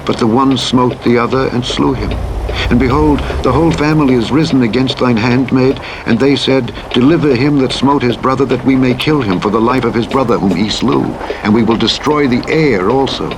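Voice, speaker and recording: A man voicing a grieving widow woman who pleads before a king, his voice lifted higher, with rain and engine noise behind. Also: a little fast, close to an everyday voice. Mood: pleading.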